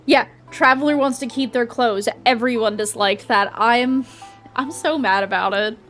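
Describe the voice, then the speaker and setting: A woman streaming a video game, reacting casually out loud. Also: on the high side, moderate pace. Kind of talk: casual live reaction commentary. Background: game music.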